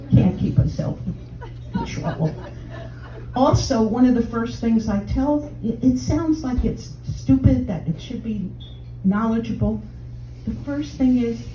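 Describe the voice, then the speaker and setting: Older Texan woman, mellow voice, brash bawdy comic heckling the crowd, miked from afar in a dull live room. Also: expressive, unhurried, a little hiss or hum. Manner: brash, bawdy, chatty sales pitch. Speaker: stand-up comedian in a sex-doctor persona. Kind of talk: stand-up routine, addressing audience members. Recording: roomy, distant, dull live room sound.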